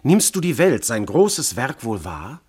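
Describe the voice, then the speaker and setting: An actor playing a commanding, seductive god posing as a noble husband, declaiming classical radio verse in a theatrical, grandiose manner. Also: male voice, mid-range voice, sing-song, a little slow, a little mellow, slightly rough, exaggerated voice. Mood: grand, seductive. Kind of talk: classical German stage verse in a radio play.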